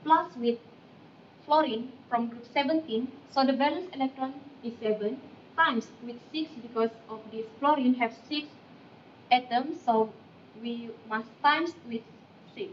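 A woman's voice: on the high side, a little slow. A young woman explaining step by step as a student at a classroom whiteboard, picked up by a camera across the room. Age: young adult. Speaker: student presenter. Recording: echoey, dull, distant mic, faint noise.

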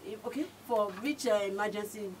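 A Nigerian woman reads a radio traffic update in a mid-pitched voice, pausing on fillers. She sits a little off the mic, with faint hiss around her. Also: a little mellow, recorded from a distance. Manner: halting, with many fillers.

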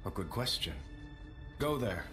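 Casting: regal young man in command, fairly low pitch, formal and poised, video game drama. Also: big pitch swings, fairly bright tone, faint music behind. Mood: earnest, commanding. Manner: measured, formal, courteous. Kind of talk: video game cutscene acting.

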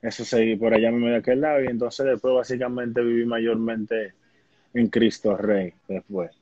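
A young man with a Dominican Spanish accent and a husky voice, casually telling stories as an interview guest on a phone video call. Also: medium pitch, fairly even intonation, normal speed, a little mellow, no echo. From Dominican Republic. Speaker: interview guest, baseball player. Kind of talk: casual conversational storytelling. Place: indoor room at home. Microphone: phone on a live video call. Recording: muffled video-call audio.

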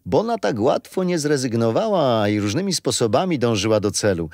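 Lively, patient storytelling grandfather. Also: medium pitch, moderate pace, no echo, clean studio sound. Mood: warm, teaching. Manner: patient, gently amused storytelling. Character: kindly, patient grandfather explaining history to grandchildren.

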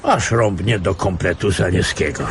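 An actor playing a world-weary, sardonic investigator in a radio play, wry and offhand, with small grunts. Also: male voice, low-pitched, unhurried, a little hiss or hum. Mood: wry, teasing. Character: sardonic, world-weary male veteran investigator advising younger detectives.

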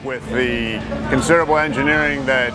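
A man's voice: mid-range voice, steady tone, a little fast. A man in his forties from a race team talking about engine testing, casual and hesitant with many fillers. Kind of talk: promotional interview. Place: indoor race shop with bike behind. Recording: loud music behind.

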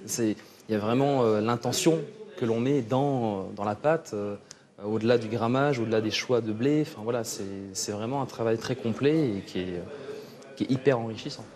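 A man, a chef explaining his work haltingly in an interview. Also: mid-range voice, crowd noise. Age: 30s. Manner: halting, searching for words. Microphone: lapel mic.

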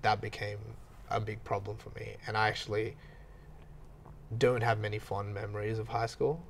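A young man in his late twenties, talking openly on a podcast with a gravelly, fry-edged voice that sits fairly low. He speaks frankly, with easy self-mocking humor. A faint ambient hiss hangs behind him. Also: level tone. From Australia. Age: 20s. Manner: candid, quick to laugh at himself. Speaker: podcast guest, gym owner. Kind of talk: casual podcast chat.

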